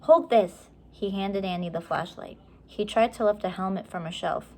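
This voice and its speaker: A woman reading a children's chapter book aloud with measured, expressive storytelling. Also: mid-range voice, slight background noise. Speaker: story reader.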